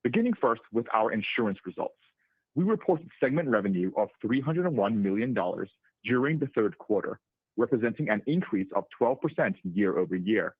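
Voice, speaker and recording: Exec guy reading scripted company remarks, husky, lots of intonation, dry phone audio. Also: lowish voice, sing-song, a little fast, no room sound. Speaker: chief executive. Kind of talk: prepared corporate earnings remarks. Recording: muffled narrowband conference call line.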